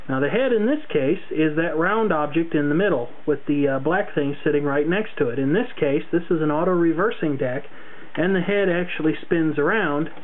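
A man's voice: on the high side, phone-quality sound. A man narrating an unscripted hands-on tutorial, explaining things carefully step by step into a camera's microphone. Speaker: hobbyist demonstrator. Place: indoors, at a tape deck.

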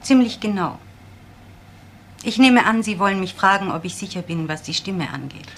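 An actress playing a guarded, efficient laboratory assistant in a German crime radio drama, clipped and matter-of-fact. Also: female voice, medium pitch, lively intonation, normal speed.

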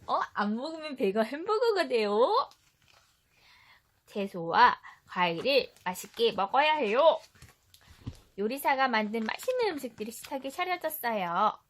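A woman's voice: a little high, big pitch swings, measured pace. A woman reading a children's story expressively as a mother would, speaking directly and instructively to a small child.

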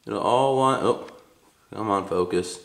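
A man's voice: on the low side, a little slow, vocal fry. A man casually showing how to use a tool in his home garage, talking in a laid-back, rambling way while filming on a handheld camera. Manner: laid-back, rambling, with fillers and self-corrections. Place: home garage with concrete floor. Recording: echoey garage, faint background noise.

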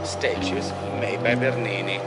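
A man's voice: lowish voice, unhurried. Male tour guide, distant in an echoing church. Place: inside a large church. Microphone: tourist's handheld camera at a distance. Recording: echoey church, distant camera microphone, music.

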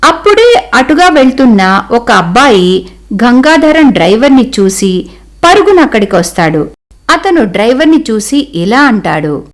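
Woman narrating a cartoon tale, scripted, pitch goes up and down a lot. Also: sing-song, measured pace. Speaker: storyteller.

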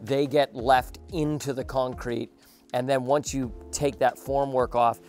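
A man in his forties hosts a casual outdoor building walkthrough. His talk is conversational and jokey, with a self-deprecating streak. Faint music plays behind his middle-pitched voice. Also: flat delivery, measured pace, darkish tone. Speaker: host and builder. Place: outdoor residential construction site under a raised house.

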